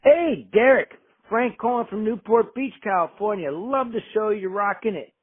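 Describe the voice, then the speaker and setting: A man calls in over a tinny phone line with a high-pitched, husky voice. He leaves a casual fan message. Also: moderate pace, dry recording. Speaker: voicemail caller.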